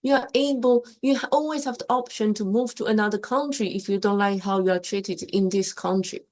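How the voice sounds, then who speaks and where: A young woman from Taiwan hosts a casual live stream and shares her opinions in loose, repetitive English. Her voice sits at a middle pitch and keeps swinging up and down with emphasis. She talks plainly and breaks off to restart her sentences. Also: a little fast, a little mellow.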